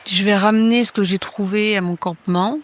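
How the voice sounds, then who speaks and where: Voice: medium pitch, steady tone, brisk. A player in a tabletop horror game, saying what their character does in a tentative way and thinking aloud. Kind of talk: casual play talk, declaring actions.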